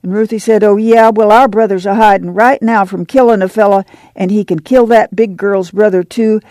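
A woman voicing a young farm boy who tells a story in a breathless, rushed run-on way. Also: mid-range voice, fairly even intonation, warm tone, no room sound, voice pushed up, close to an everyday voice. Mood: breathless, eager. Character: breathless little farm boy, excited tattler.

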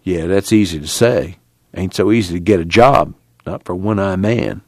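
A man voicing a bitter, self-pitying one-eyed junkyard worker with rough, plaintive country speech in an audiobook. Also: low voice, normal speed, no room sound, clean studio sound. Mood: bitter complaining. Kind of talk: acted audiobook dialogue.

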